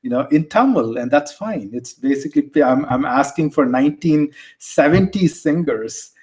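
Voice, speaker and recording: A man's voice: fairly high pitch, lively intonation, normal speed, darkish tone. A man with an Indian accent, a guest on an interview podcast, explaining things conversationally and often repeating words as he restarts. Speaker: guest, tech executive.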